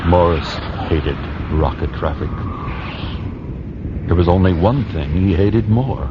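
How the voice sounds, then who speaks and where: A man as announcer and narrator of a radio drama, reading in a measured, formal way. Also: sits low, noisy background. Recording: dull recording under music.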